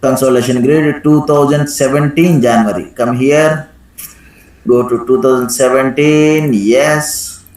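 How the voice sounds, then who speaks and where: Male software trainer in an echoey room. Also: medium pitch, fairly even intonation, a little slow. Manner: instructive, frequently checks with "right?". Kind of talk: live screen-share software tutorial with questions to students.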